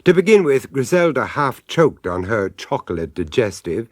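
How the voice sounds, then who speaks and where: A man narrating a radio horror play with wry humour. His mid-pitched voice moves expressively through each sentence, recorded close and dry. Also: big pitch swings, normal speed, no room sound. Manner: wry, storytelling. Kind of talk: scripted radio drama narration.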